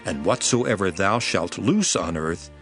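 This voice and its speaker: An actor playing a solemn, authoritative teacher and master in a dramatized scripture, declaiming deliberately. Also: male voice, deep voice, animated, fairly bright tone, full character voice. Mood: solemn, instructive. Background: music.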